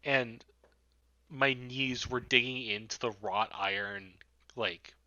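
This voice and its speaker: A young American man co-hosting a podcast, telling halting anecdotes in casual chat with friends over a slightly noisy mic. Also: medium pitch, steady tone, sharp tone, no echo, highs cut off. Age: young adult. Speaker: podcast co-host.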